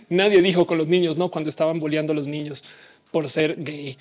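A woman's voice: deep voice, steady tone, moderate pace, a little mellow. A woman with a mixed Mexican and Colombian Spanish accent hosting a livestream, chatting casually as she reads and answers audience comments. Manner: casual, chatty, explains at length with slang. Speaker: livestream host. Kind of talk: live chat reading audience comments.

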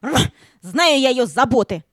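Woman as a husky, grumbling fairy-tale dog. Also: sits high, animated, a little slow, no room sound, studio quality. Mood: grumbling distrust. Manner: growling, grumbly. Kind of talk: audio play acting.

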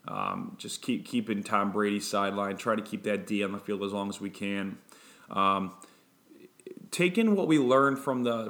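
A man with a fairly low voice hosts a fan podcast and previews an upcoming game in casual talk. He delivers it in a flat monotone, full of ums and repeated words as he restarts his sentences.

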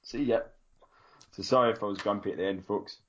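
A man's voice: fairly low pitch, a little fast, fairly bright tone, muffled recording, slight background noise. A Scottish man co-hosting a running podcast, joking and self-mocking in casual chat. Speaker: podcast co-host.

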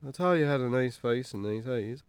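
An actor playing a troubled, hard-drinking Irish farmer in a naturalistic radio drama, halting and sweary. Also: male voice, mid-range voice, expressive, clear, resonant tone, no echo, close to an everyday voice. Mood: awkward, pleading.

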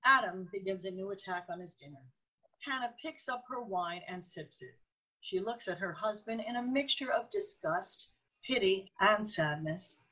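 A woman reading scripted narration for a radio drama in a measured storytelling manner. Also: medium pitch, pushed and bright, slightly rough, rough recording.

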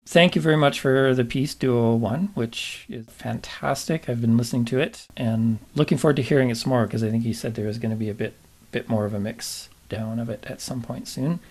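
A man's voice: mid-range voice, fairly even intonation, a little fast, hardly any pauses. A man interviewing a composer in an encouraging way, laughing along and backchanneling often. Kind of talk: interview conversation.

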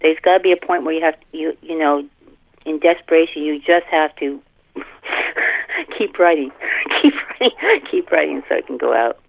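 A woman in her fifties with a Canadian accent talks casually and self-deprecatingly, like an author being interviewed. Her voice is creaky, and it comes through a thin, telephone-like connection with an animated storytelling manner. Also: low-pitched, normal speed, no echo. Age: 50s. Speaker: fantasy author, podcast guest. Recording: tinny telephone-like line.

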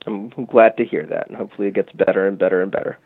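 A man with a low, gravelly voice speaks over a tinny, phone-like line. He talks casually in an interview, explaining at length and often restarting with fillers. The sound is dry. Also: steady tone, fast talker, no room sound. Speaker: guest from a music marketing company.

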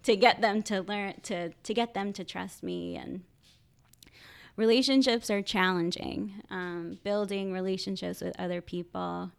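A woman who is a social worker, talking casually in a podcast interview, halting often and laughing. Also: steady tone, gravelly, smooth. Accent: Philadelphia. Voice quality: high-pitched by her own account. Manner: halting, frequent restarts and laughs.

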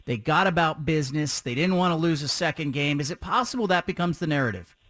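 A man hosting a sports talk radio show, chatty and energetic, asking long rambling questions. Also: fairly high pitch, fast talker, no echo, clean studio sound.

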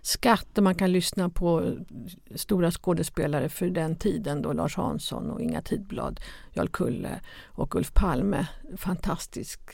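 A woman's voice: on the low side, gravelly, few pauses, darkish tone, no echo, studio quality. A woman in conversational, explanatory talk on a podcast.

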